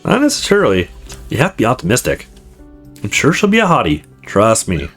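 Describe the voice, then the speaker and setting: A player voicing a calm, teasing, reassuring young man in a visual novel. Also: mid-range voice, a little fast, breathy edge, faint music behind, heavily acted.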